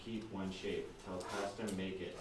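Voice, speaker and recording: Very echoey low male student reading verse. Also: fairly even intonation, measured pace. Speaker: student reading aloud in class. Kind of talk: reading Shakespeare aloud. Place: indoor classroom. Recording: echoey room from far off, clear noise.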